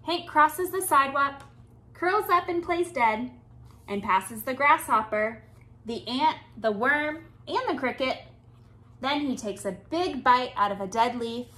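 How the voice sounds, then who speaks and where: A woman in her thirties reads a picture book to small children. Her voice sits high and swings widely in pitch. She takes it slowly, phrasing each line deliberately and expressively for a young audience. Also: expressive, bright, forceful tone. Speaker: children's storytime reader. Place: small indoor room with bookshelves.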